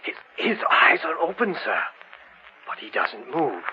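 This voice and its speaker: An actor playing a deferential, uneasy manservant in old-time radio horror, formal and hesitant. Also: male voice, on the high side, wildly swooping pitch, unhurried, pushed and bright, slight background noise, exaggerated voice. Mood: alarmed. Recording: very muffled old radio recording.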